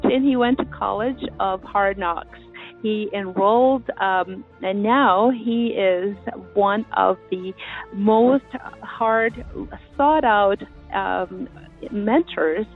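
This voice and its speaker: Enthusiastic radio host woman, slightly high voice. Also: narrow, tinny audio. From immigrant to Canada. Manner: enthusiastic, hesitant with fillers. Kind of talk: radio interview introduction.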